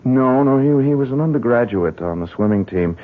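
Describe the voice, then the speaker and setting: An American actor playing an earnest, suspicious young English instructor in an old-time radio drama, speaking in a polite, hesitant, probing way. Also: male voice, mid-range voice, expressive, a little fast, narrow, tinny audio, close to an everyday voice. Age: young adult. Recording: thin, band-limited vintage radio recording.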